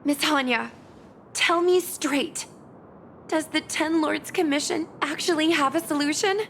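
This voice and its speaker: A woman's voice: high voice, a little slow, gravelly, fairly bright tone, a little hiss or hum, exaggerated voice. A woman playing an earnest, hot-headed young heroine in a video game, bold and dramatic. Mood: worried, pleading. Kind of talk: animated video game voice acting.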